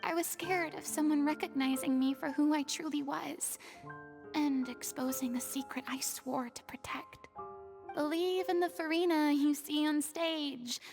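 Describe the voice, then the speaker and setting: A woman's voice: high-pitched, fairly even intonation, a little slow. A woman voicing a guarded, proud and wistful young former stage idol in a video game, with a theatrical, confiding manner. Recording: music behind the voice.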